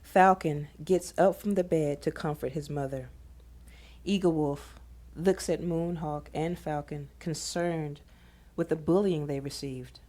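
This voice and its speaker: A woman's voice: sits low, fairly even intonation, measured pace, mellow. A woman reading scene directions as the narrator of a scripted audio drama.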